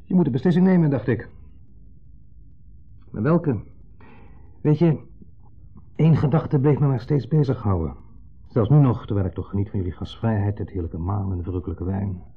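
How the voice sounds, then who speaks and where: An actor playing a wistful, brooding, middle-aged ex-husband in a radio play, speaking in wordy, hesitant, formally polite sentences with a pointed undertone. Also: male voice, fairly low pitch, normal speed, gravelly, long pauses, slightly rough. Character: wistful, brooding ex-husband, a long-winded dinner guest. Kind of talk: 1970s Dutch radio play acting. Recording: muffled, phone-like 1970s radio recording.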